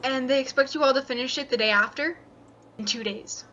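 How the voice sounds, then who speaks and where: A woman's voice: sits high, a little fast, sharp tone. A woman giving an informal opinion on dull lo-fi audio with faint noise. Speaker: video maker defending game developers.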